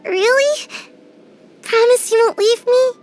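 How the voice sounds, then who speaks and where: A woman's voice: very high-pitched, slow, a little mellow, soft background music. A woman voicing a tiny, sleepy young ninja girl in a game, drowsy and a little whiny, shy but grumpy. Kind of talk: game character voice lines.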